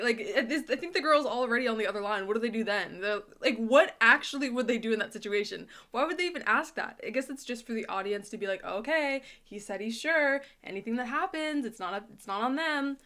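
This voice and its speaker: A woman's voice: on the high side, bright, forceful tone. A chatty woman giving casual, opinionated reaction commentary, thinking aloud as she goes. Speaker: reaction video host.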